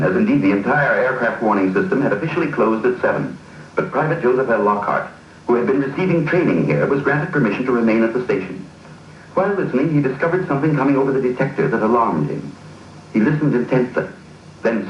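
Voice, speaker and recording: A male narrator reads a 1940s documentary script formally and dramatically, with a very varied melody. The soundtrack is distant, muffled and clearly noisy. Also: medium pitch, echoey room.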